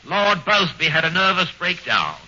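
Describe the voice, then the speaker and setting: A man's voice: high-pitched, sing-song, moderate pace. A man playing a smug, pompous great detective in a 1940s radio comedy sketch. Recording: dull old radio broadcast, clear hiss.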